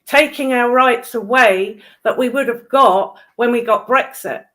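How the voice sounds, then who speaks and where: British woman chatting politics, voice on the high side, blunt, opinionated, bit sweary. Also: unhurried, fairly bright tone, rough recording. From United Kingdom. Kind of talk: casual political chat.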